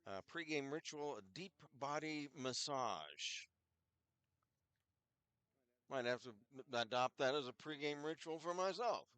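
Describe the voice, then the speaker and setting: Older male hockey announcer, head cold, rambling asides, smooth dry voice. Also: on the high side, lively intonation, measured pace, pauses often, fairly bright tone, no room sound. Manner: rambling, chatty, self-deprecating asides. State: head cold with scratchy throat. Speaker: play-by-play announcer. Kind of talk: casual hockey broadcast commentary.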